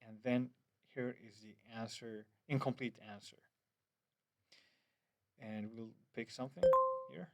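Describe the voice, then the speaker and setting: A man's voice: mid-range voice, fairly even intonation, a little slow, long pauses, darkish tone. Hesitant man. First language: Finnish. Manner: hesitant, many fillers and restarts, loose phrasing. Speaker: app developer. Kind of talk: screen-recorded app demo.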